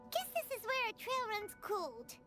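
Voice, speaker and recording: A woman voicing a small, eager, naive fairy companion in a video game, with a squeaky childlike voice. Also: huge pitch swings, measured pace, bright, forceful tone, soft background music, full character voice. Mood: eager, curious.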